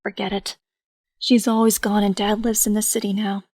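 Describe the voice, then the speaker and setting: An actress playing a lonely, grieving young woman in an audio drama, with a breathy delivery. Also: medium pitch, little pitch movement, moderate pace, darkish tone, no room sound, studio quality, natural voice. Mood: warm.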